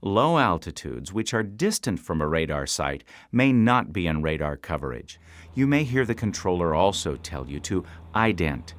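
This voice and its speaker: Man, low voice, lively intonation, scripted instructional narration, measured explanatory delivery, training instructor. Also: no room sound.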